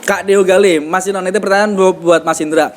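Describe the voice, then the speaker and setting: Teasing host with Semarang Javanese accent. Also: male voice, high-pitched, fairly even intonation, a little fast. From Semarang, Central Java. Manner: teasing, laughs often. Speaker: podcast host. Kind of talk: casual podcast chat.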